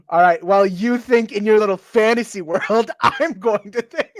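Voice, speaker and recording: A man's voice: high-pitched, measured pace, dry recording, clean studio sound. A man hosting a casual stream who reads a screenplay aloud and jokes about it with friends. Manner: playful, chatty, laughs often. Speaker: podcast host. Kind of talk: reading a script aloud with commentary.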